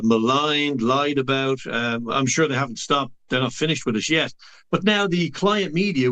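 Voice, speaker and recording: A man's voice: medium pitch, a bit bright. An Irish man with a mid-range voice, speaking as a political commentator on a talk show. He talks in long, winding explanations dotted with frequent "uh" fillers.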